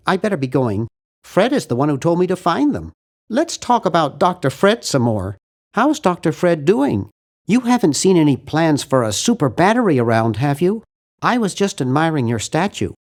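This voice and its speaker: Cartoon game lead: nervous young American geek, high nasal voice for a man, very animated, fussy and earnest. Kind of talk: cartoon adventure game voice acting.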